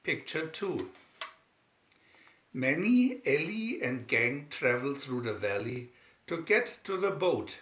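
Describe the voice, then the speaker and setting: A man reading a children's picture-story booklet aloud in scripted narration, deliberately and with pauses. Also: medium pitch, sing-song, fairly bright tone. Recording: dull lo-fi, roomy echo.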